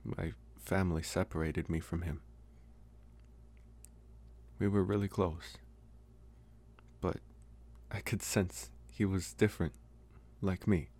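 A man playing a lonely, gentle young college vampire in an intimate ASMR roleplay, speaking softly and haltingly with pauses. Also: sits low, fairly even intonation, exaggerated voice. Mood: wistful. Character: lonely, soft-spoken young male college vampire, politely devoted. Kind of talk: ASMR roleplay, intimate one-sided dialogue.

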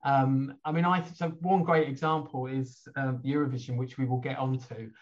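A hoarse man, hosting an online chat show in a rambling, chatty way with lots of fillers. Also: medium pitch, fairly even intonation, normal speed, lo-fi sound. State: hoarse from a cold. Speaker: host.

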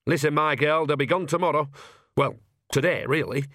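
A man's voice: fairly high pitch, normal speed, fairly bright tone, dry recording, clean studio sound, pitched above the performer's own voice. A man voicing a wrinkled, bossy old village witch woman in an audiobook, folksy, blunt and colloquial. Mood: brisk, knowing. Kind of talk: audiobook character voice.

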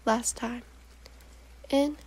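A woman guiding a meditation in a soft, breathy, partly whispery voice, giving calm, gentle instructions in short, measured phrases. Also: a little high, a little hiss or hum, poor audio. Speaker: guided meditation host. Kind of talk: slow, soothing guided meditation narration.

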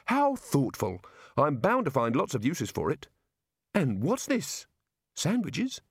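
A man's voice: a little high, wildly swooping pitch, slow pace, slightly rough, no echo, studio quality, pitched above the performer's own voice, full character voice. Man acting a mild, wry, hapless wizard. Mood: hesitant, wry.

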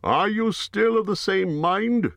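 A firm, steady leader of men, pitched well above the narrator's low voice, as a man puts him on. He speaks in measured tones, and the sound is dry and close. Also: creaky, dry recording, exaggerated voice. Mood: challenging.

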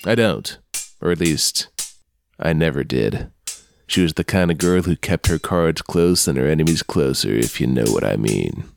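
A man playing a suave, sly underworld club owner in a comic noir radio play, speaking in a smooth, teasing, refined manner. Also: extremely low pitch, lively intonation, normal speed, slight background noise, heavily acted. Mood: coy.

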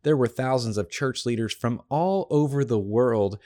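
A slightly hoarse, tired man hosting a devotional podcast, teaching a Bible passage in an earnest, encouraging way with emphatic repetition. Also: mid-range voice, moderate pace, warm tone. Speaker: podcast host.

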